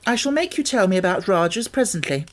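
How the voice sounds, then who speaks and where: A man's voice: sits high, big pitch swings, fast talker, pitched above the performer's own voice. A man voicing a spoiled, sickly rich young boy in an audiobook, haughty and commanding. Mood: curious, bossy.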